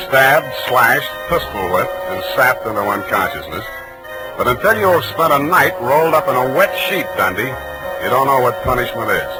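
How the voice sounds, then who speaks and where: A man acts out a hard-boiled private detective in a 1940s radio drama. He is wry and deadpan, with a mid-pitched voice and a wisecracking way of narrating. Music is clearly heard on the old broadcast recording. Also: lightly acted, poor audio. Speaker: lead actor and narrator.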